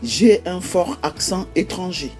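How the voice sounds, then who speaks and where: A woman with a Cameroonian accent hosting an explanatory vlog, listing examples one by one in a measured way with frequent hesitations. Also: fairly low pitch, expressive, a little mellow, soft background music. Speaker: YouTube host.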